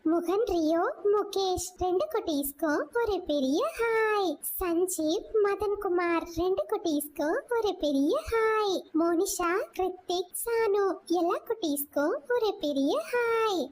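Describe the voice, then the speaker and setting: A woman's voice: very high voice, slow pace, darkish tone, slight background noise. A woman storyteller delivering scripted narration for children.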